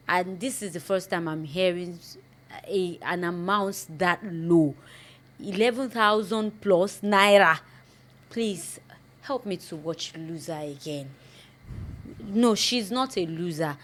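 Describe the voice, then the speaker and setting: A Nigerian woman with a Yoruba accent hosts the conversation, pleading with viewers and murmuring soft "hmm" replies. Her voice sits somewhat low for a woman. Faint noise hangs behind her. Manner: pleading directly to the audience; frequent soft "hmm" backchannels. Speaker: talk show host. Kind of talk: interview and appeal to viewers.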